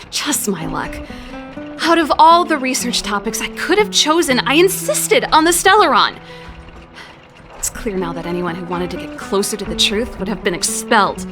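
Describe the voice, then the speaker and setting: An actress voicing a wry, candid young exiled scientist in a video game, talking in a reflective, articulate way. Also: female voice, a little high. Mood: wistful, troubled. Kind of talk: scripted dramatic game dialogue. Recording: loud background music under the voice. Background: game cutscene music.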